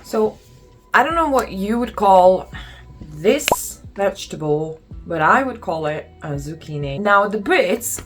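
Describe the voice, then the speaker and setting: A woman's voice: mid-range voice, very animated, takes it slowly, over music. A young woman from Lithuania with a Lithuanian accent, chatting casually to camera in a home vlog, with lots of ums and exasperated asides. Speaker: vlogger. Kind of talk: casual talking-head vlog.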